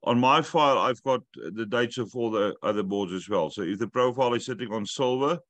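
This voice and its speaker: A man's voice: mid-range voice, fairly quick, a bit bright, no room sound. Talkative man hosting a video call. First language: not English. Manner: talkative, joking, instructive. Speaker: host of a weekly update call. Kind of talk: casual video call chat. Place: room at home.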